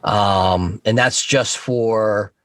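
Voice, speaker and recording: A man speaking as an interview guest, informal and halting as he thinks aloud about his creative work. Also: on the low side, a bit bright, dry recording. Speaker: guest, audio horror series creator. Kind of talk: casual interview talk.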